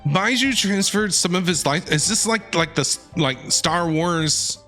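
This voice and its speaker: Casting: male streamer, chatty and inquisitive, punchy higher voice, off-the-cuff game commentary. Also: unhurried, sharp tone. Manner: chatty, inquisitive, repeats himself. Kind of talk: casual live game reaction commentary. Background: faint game music.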